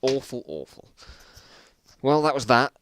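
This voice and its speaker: A man's voice: medium pitch, sing-song, a little slow, a bit bright, a little hiss or hum. A man giving a dramatic reading of his own story, breaking off for self-mocking, exasperated asides about the writing.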